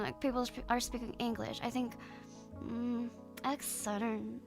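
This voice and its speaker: Bright high-voiced woman streamer. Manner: chatty, laughs often, swears casually. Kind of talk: casual livestream chat with viewers.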